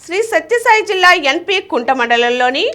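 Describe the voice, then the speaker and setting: A woman news reader. She delivers scripted bulletins formally, with very animated intonation that rises and falls through each sentence. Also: extremely high pitch, fairly quick.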